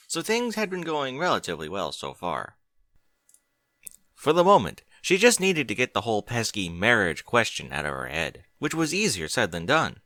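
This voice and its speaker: Male audiobook narrator, lowish voice with animated intonation, scripted fiction, close dry sound. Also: no echo.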